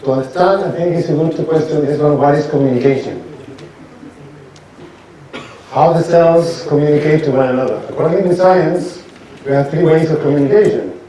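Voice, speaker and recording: A man gives an informal lecture as a doctor, speaking haltingly and asking his audience questions. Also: on the high side, dark tone. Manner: halting, restarts sentences, asks audience rhetorical questions. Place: lecture hall. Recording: echoey hall, from a distance, faint noise.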